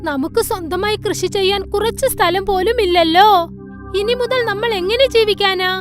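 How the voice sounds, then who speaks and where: A woman's voice: very high-pitched, moderate pace. A woman playing a worried, nagging wife of a poor farmer in a cartoon fairy tale, pleading and insistent. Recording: faint music under the voice.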